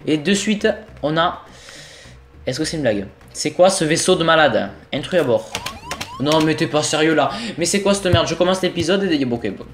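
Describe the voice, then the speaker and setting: A man's voice: fairly high pitch, lively intonation, normal speed, a bit bright, slight background noise. A young man with a cold, casually commentating his own gameplay, exasperated and swearing as things go wrong. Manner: swearing, exasperated, talking to himself while playing. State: sick with a cold. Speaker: gaming video host. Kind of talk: casual let's-play commentary.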